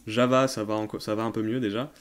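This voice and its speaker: A man's voice: fairly low pitch, little pitch movement, fast, smooth. A man hosting a tech Q&A in a casual, unscripted way, often hesitating as he thinks aloud and using informal slang. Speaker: tech tutorial host answering viewer questions. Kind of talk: casual off-the-cuff explanatory commentary.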